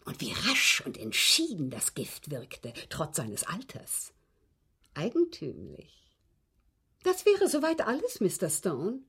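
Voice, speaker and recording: Haughty old lady with a husky, swooping voice. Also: mid-range voice, wildly swooping pitch, takes it slowly, a bit bright, exaggerated voice. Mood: composed, grave. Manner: precise, formal, haughty, dryly scornful. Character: proud, articulate old English widow, coolly confessing to police. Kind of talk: radio drama acting.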